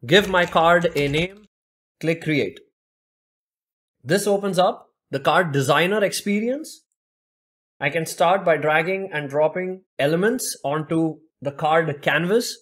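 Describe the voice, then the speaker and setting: A young man walks through a software demo step by step, his voice sitting a little higher than most men's. He explains each click at a steady, even pace, speaking into a desk microphone at his computer. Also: takes it slowly. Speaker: tech tutorial presenter. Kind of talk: screen-recorded software demo narration.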